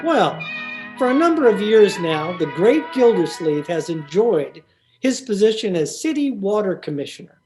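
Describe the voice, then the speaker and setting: Mellow old-time radio announcer. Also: medium pitch.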